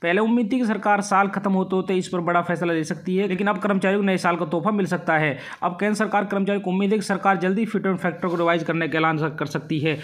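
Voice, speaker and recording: A man with a high voice reads scripted news updates at a quick clip. He delivers them emphatically, as a presenter announcing headlines. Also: fairly even intonation, fairly bright tone.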